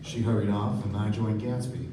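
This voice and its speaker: A man playing a polite, observant young gentleman who narrates a radio play on stage. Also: on the low side, little pitch movement, normal speed, lightly acted. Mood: measured, reflective. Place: theater stage before an audience. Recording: echoey stage, distant mic, noisy room.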